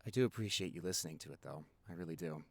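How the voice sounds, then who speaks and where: A man's voice: low voice, rapid-fire, creaky, no echo. A young man playing a playful, affectionate boyfriend who rambles chattily and teasingly in an intimate audio roleplay. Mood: tender, apologetic. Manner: teasing, animated, chatty, expressive.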